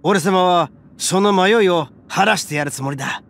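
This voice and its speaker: A man voicing a boastful male oni in a game, rough, swaggering and big-hearted. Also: high voice, normal speed, a bit bright, a little hiss or hum. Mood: reassuring. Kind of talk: game voice acting. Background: game music.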